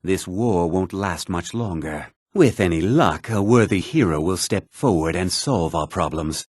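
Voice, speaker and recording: A haughty nobleman from a fantasy game, speaking in a fairly low, husky voice. His delivery is pompous and theatrical, with lively swings in pitch and a condescending air. The recording is close and dry. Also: unhurried, no echo, full character voice. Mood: formal, measured. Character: haughty, self-important male noble in a fantasy realm. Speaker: video game voice actor. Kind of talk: scripted fantasy game dialogue lines.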